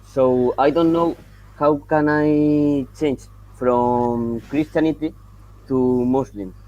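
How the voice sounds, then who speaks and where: A Spanish-speaking man from Colombia with a Colombian accent, calling in over video and halting as he searches for English words. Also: medium pitch, steady tone, warm tone, dull audio. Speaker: caller. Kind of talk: call-in discussion. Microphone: video call.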